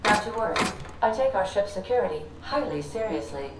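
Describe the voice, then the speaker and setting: Woman voicing a cheerful female ship computer, chipper, roomy echo, heard from afar, loud noise behind. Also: mid-range voice, animated, a little fast, far from the mic, close to an everyday voice. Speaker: ship's AI companion. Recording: echoey game audio from afar.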